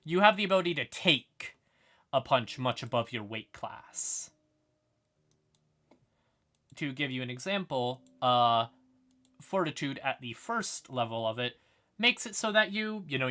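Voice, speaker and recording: A man's voice: mid-range voice, expressive, measured pace, pushed and bright. A man hosting a livestream, explaining fantasy lore in a casual, informal manner with some swearing. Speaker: live streamer and host.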